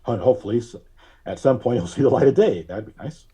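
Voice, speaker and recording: A man being interviewed as a filmmaker, telling stories in an explanatory, conversational way with drawn-out pauses. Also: medium pitch, expressive, mellow, breathy edge. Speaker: guest, filmmaker.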